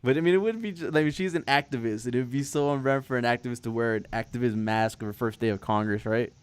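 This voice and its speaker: A man hosting a political talk show, discussing news casually in halting, hesitant phrasing. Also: mid-range voice, clear, resonant tone.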